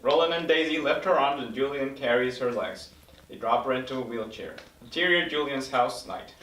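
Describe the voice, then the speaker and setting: A man's voice: mid-range voice, moderate pace, fairly bright tone. Guy narrating a script at a table read, mid voice, echoey, bit distant. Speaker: narrator reading stage directions. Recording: roomy recording, mic at a distance.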